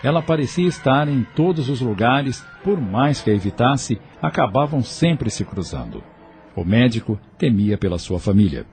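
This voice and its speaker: A man's voice: mid-range voice, sing-song, normal speed, a little mellow. A man with a Brazilian accent reading scripted narration for an audio novel. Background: music.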